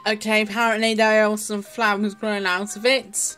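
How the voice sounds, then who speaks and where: A woman's voice: mid-range voice, flat delivery, moderate pace. Chatty woman's voice over prominent music. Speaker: host of a toy showcase video. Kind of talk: casual review commentary. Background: music and the toy's sounds behind the voice.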